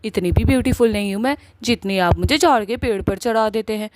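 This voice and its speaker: Coquettish, sharp-tongued cartoon witch played by a woman. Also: medium pitch, a little fast, slight background noise, natural voice. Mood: bashful. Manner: coy with her lover, scornful when crossed. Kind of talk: Hindi cartoon story voice acting.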